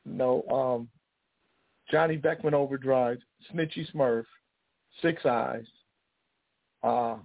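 A man hosting a radio show, talking conversationally in a rambling, philosophical way with pauses. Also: mid-range voice, creaky, no echo. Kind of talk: show sign-off monologue, conversational. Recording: tinny phone-like audio, music behind.

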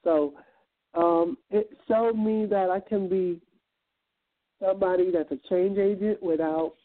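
A man from Guyana, a guest on an internet radio interview, speaking in an earnest, reflective way with many ums and uhs. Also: high voice. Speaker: guest, event planner and entrepreneur. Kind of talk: motivational interview talk. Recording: tinny phone line.

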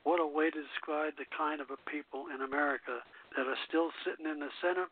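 A man's voice: on the high side, steady tone, fast talker, slight background noise. A man hosting a radio show, reading out music history and quotations over a tinny phone-line connection.

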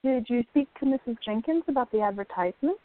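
A woman's voice: fairly high pitch, expressive, quick pace, natural voice. An actress playing a supportive, teasing young woman in a radio play, on a thin recording. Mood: teasing, encouraging. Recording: thin, phone-like audio.

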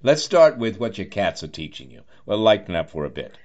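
Deep-voiced man hosting an interview. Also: big pitch swings, fairly quick, a little mellow, dull audio. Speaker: interviewer, radio host. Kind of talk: warm chatty radio interview.

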